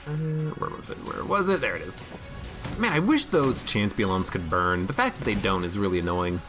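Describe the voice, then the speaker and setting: A man commentating his own game stream, chatting casually and reading viewer comments aloud, his voice a little hoarse today. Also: low-pitched, big pitch swings, normal speed, music in the background. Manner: chatty, reads chat aloud, musing. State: slightly hoarse.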